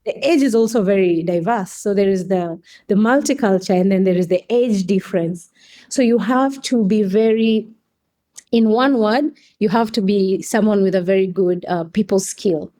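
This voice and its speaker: A woman's voice: mid-range voice, normal speed, mellow. A woman from Kenya with a Kenyan accent, explaining things articulately in a conversational interview as a guest. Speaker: podcast guest, coffee company staff member.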